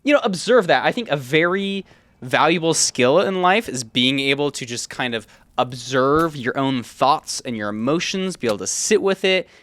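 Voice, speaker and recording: A man's voice: fairly high pitch, animated, normal speed, a bit bright, no room sound. A man in his twenties telling a personal story casually, in a rambling, conversational way, often quoting himself as he goes. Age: 20s. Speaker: YouTuber.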